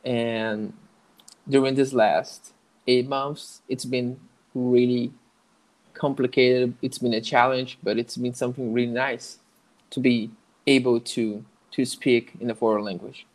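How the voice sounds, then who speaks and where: Brazilian guy, late twenties, Portuguese accent, halting English, lots of "you know", mid-pitched voice. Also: level tone, a little mellow, rough recording. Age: 20s. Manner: hesitant, many restarts and "you know" fillers. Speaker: guest, network engineer learning English. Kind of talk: casual interview.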